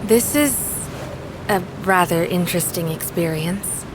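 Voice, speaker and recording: A woman playing a polite, literal, curious puppet who talks in a precise, formal, measured way in an audio drama. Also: on the low side, background noise, lightly acted.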